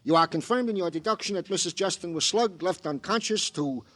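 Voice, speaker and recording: A fussy, talkative police sergeant from a 1950s radio crime show. His highish voice rises and falls dramatically as he muses in florid, mock-poetic turns of phrase. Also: expressive, brisk, fairly bright tone. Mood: jovial, theatrical. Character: chatty, flowery, fussy police sergeant, theatrical sidekick.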